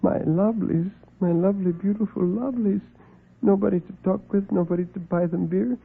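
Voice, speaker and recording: A man's voice: high voice, fairly even intonation, brisk, gravelly, husky, pitched above the performer's own voice, exaggerated voice. A man playing a meek, flustered, kindly fellow who stammers and fusses, in a classic radio drama. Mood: frail, distressed. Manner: stammering, fussy, breathless exclamations. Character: meek, flustered, kindly man devoted to his unseen friends. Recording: muffled vintage radio recording with music.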